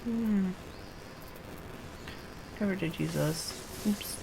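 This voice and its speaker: A woman with a creaky voice live-streaming a game, speaking casually as she plays. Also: medium pitch, extremely slow, background noise. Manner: thinks aloud in short fragments. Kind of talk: live game stream, reading quest dialogue aloud and muttering casually.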